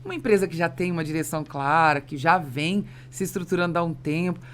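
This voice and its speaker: A woman's voice: low voice, fast, slight background noise. A woman with a Brazilian accent hosting a business podcast, talking conversationally in an emphatic, explanatory way. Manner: emphatic, explanatory, interjects agreement. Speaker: podcast host and interviewer.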